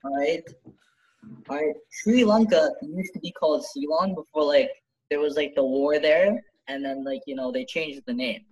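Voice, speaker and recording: A man's voice: a little high, normal speed, darkish tone, muffled recording. A young man from Canada chatting on a casual podcast, rambling and defensive with lots of fillers. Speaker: podcast co-host.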